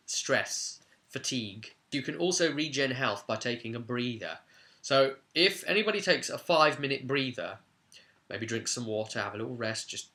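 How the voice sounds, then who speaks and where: A man's voice: mid-range voice, sharp tone. A man giving an informal tutorial as a game master, conversational and stressing key words.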